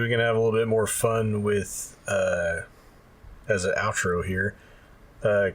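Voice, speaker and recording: A man's voice: on the low side, slightly rough. A man co-hosting a gaming podcast, chatting in a laid-back, informal way. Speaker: podcast co-host. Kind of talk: casual gaming podcast chat.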